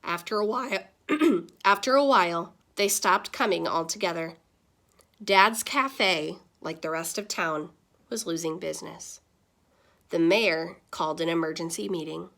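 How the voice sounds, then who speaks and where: A woman reading a children's story aloud in the style of a teacher, with dramatic pauses and stressed words. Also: lowish voice, gravelly, a bit bright.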